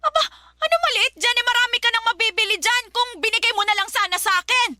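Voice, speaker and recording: A woman playing a domineering, suspicious middle-aged mother in a Filipino radio drama, nagging and accusing in a sharp-tongued, melodramatic way. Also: extremely high pitch, fast, full character voice. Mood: angry, accusing.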